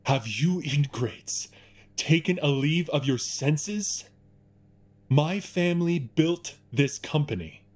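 An actor playing an arrogant, scornful rich chief executive, indignant and theatrical, in an audio drama. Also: male voice, on the high side, animated, slow pace, a little mellow. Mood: outraged.

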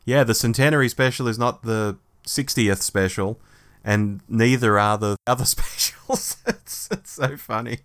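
A man's voice: mid-range voice, unhurried, fairly bright tone. A man co-hosting a podcast in a casual, conversational fan discussion. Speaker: podcast co-host.

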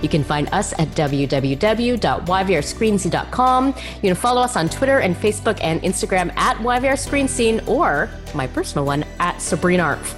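A woman speaks as a podcast host, with a pitch that moves around animatedly. Her delivery is effusive and expressive, suited to chatting with a guest and addressing listeners. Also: moderate pace, few pauses, faint music behind. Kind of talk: warm interview chat and show sign-off.

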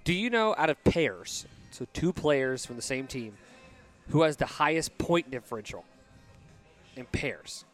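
A man in his twenties with a high-pitched voice, hosting a casual sports talk podcast. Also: expressive, a little slow, fairly bright tone, soft background music. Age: 20s. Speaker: basketball podcast host.

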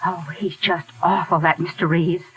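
A woman's voice: lowish voice, fairly even intonation, normal speed, a bit bright, breathy edge, poor audio. An actress playing a worried, indignant woman who defends her friend in a 1940s radio drama. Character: loyal fretful woman, protective of her friend. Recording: hissy 1940s radio broadcast.

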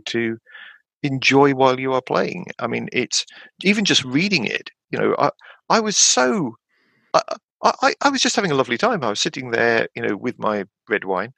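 A man in his fifties chatting casually about tabletop games, rambling with lots of fillers and restarts and sudden animated jumps in pitch. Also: vocal fry, dry recording. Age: 50s. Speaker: podcast guest.

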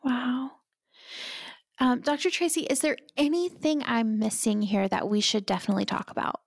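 A woman's voice: on the high side, fairly even intonation, normal speed, vocal fry, dry recording, studio quality. A woman hosting a podcast, chatty and enthusiastic as she interviews a guest. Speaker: podcast host.

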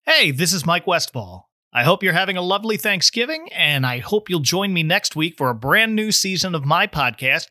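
A man's voice: high voice, bright, forceful tone, dry recording, clean studio sound. A man, a podcaster, reading in a scripted storytelling style. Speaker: podcaster, guest reader. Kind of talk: podcast promo and audiobook-style narration.

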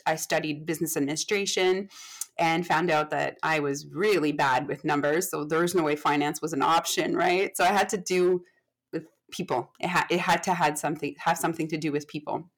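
A woman's voice: low voice, steady tone, fairly quick. Chatty woman, late thirties. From Canada. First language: French. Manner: chatty, self-deprecating, many fillers and restarts. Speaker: guest, HR expert. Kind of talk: casual podcast chat.

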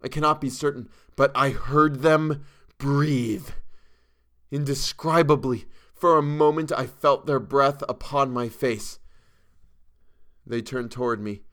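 A man voicing a terrified, half-mad character in a horror story, dramatic and drawn-out, swinging between gasps and hysterical cries. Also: medium pitch, a bit bright, pitched above the performer's own voice. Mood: haunted, awed.

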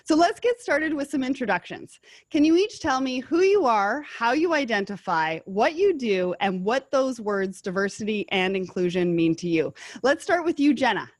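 A woman hosting a podcast panel, reading sponsor messages and then moderating in a clear, upbeat way. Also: fairly high pitch, very animated, normal speed, no echo. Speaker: podcast host.

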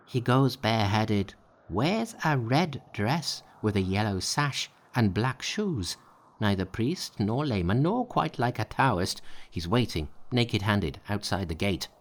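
A man's voice: on the low side, sing-song, unhurried. A man voicing a group of small, nervous monkeys and imps in a storybook reading. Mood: urgent. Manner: anxious, reporting.

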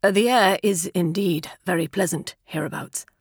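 A woman voicing a diffident, courteous gentleman in his thirties for an audiobook, measured and politely guarded. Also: on the low side, slightly rough, no room sound, clean studio sound. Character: reserved, evasive gentleman of thirty-five, mildly courteous.

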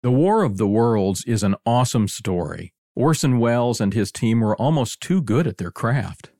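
A man's voice: mid-range voice, lively intonation, moderate pace, warm tone, dry recording, studio quality. A man hosting a podcast in a conversational presenting style. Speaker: podcast host.

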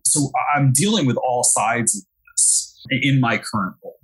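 A man from Brooklyn speaks in a mid-range voice that has a husky edge. He is a guest in an interview, explaining his work in an easy, chatty way. He often repeats a word when he restarts a thought. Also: medium pitch, normal speed, a bit bright, rough recording. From Brooklyn, New York. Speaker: guest, digital marketing analyst. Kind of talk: casual interview talk.

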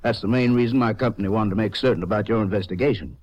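An actor playing a polite, formal, businesslike insurance investigator in a 1950s radio drama. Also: male voice, fairly low pitch, racing, darkish tone, close to an everyday voice. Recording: dull, lo-fi old radio recording.

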